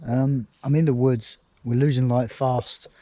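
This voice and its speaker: A British man, slightly hoarse, talking casually to camera outdoors in the woods, rambling and thinking aloud with many ums and restarts. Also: mid-range voice, little pitch movement, unhurried, warm tone, slight background noise. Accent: British English. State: slightly hoarse, losing his voice. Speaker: outdoors vlogger. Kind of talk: casual vlog talk to camera.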